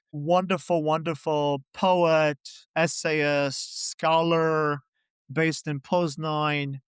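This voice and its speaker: A man's voice: on the high side, clean tone, no echo, clean studio sound. A middle-aged man from Poland, a poet and translator, answering interview questions in a reflective way with many "you know" and "right?" asides.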